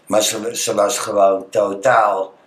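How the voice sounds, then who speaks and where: A man talking casually and personally in a halting, plain-spoken way, in an echoey room. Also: on the low side, little pitch movement.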